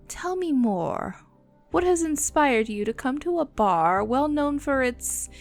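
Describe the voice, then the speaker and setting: A woman voicing a bossy, world-weary but protective female vampire in an ASMR roleplay, speaking in a haughty, condescending, deliberate way. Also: on the high side. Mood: mocking amusement. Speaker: voice actor in an audio roleplay.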